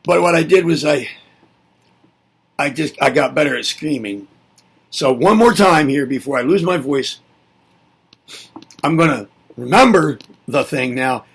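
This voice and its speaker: A man presenting a reflective spoken lesson with a gravelly, husky edge to his voice. He muses aloud, circles back on his points and answers his own rhetorical questions. His pitch rises and falls freely, sitting a little high for a man. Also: fairly bright tone. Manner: musing, repeats himself, asks and answers rhetorical questions.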